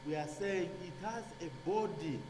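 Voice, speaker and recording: A man giving a classroom lecture, halting with many fillers and restarts, checking in with "okay?". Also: a little high, lively intonation. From Zambia. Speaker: nursing lecturer. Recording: echoey hall with hum.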